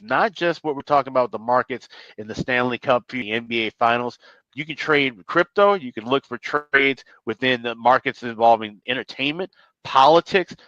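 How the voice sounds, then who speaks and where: A man's voice: medium pitch, a bit bright, no room sound, muffled recording. A man co-hosting a sports show, reading a sponsor spot in an enthusiastic, loose and rambling way. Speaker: co-host. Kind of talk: sponsor read in casual chat.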